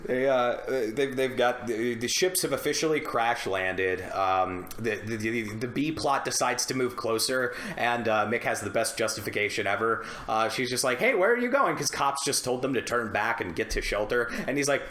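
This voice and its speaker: A man's voice: mid-range voice, moderate pace, hardly any pauses, a bit bright, reverberant, slight background noise. A young man co-hosting a casual film podcast, profane and jokey, stumbling over his words as he retells things. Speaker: podcast co-host.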